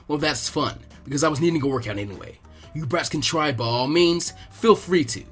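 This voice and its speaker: A man's voice: fairly high pitch, brisk, breathy edge, faint music behind. A man voicing a laid-back adult male ninja teacher with a derisive, dismissive way of talking. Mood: mocking.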